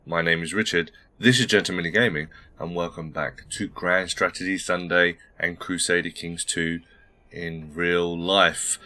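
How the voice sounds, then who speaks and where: A man's voice: deep voice, fairly even intonation, a little slow, sharp tone. A man hosting a strategy game playthrough, thinking aloud in a polite, chatty way while a little under the weather. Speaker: gaming channel host. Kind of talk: casual let's-play commentary.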